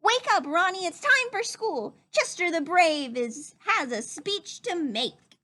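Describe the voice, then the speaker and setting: Storybook young raccoon boy, performed by a woman: very high, swooping, earnest, timid but plucky. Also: expressive, slow pace, pushed and bright, full character voice. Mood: proud, excited. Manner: earnest, childlike. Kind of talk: read-aloud children's storybook.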